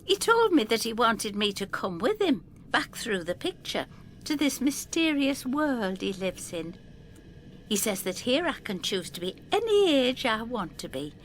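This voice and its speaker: A woman's voice: on the high side, very animated, measured pace, slight background noise. An actress playing a vague, spaced-out woman in her seventies, wistful and deluded, in an audio drama. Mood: wistful rapture.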